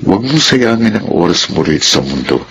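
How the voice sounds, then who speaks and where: A middle-aged Filipino dad in a radio drama. His voice sits very low, grave and earnest, stern yet loving, over clearly audible noise. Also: very animated, fairly quick, fairly bright tone, exaggerated voice. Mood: solemn, loving. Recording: noisy radio drama recording.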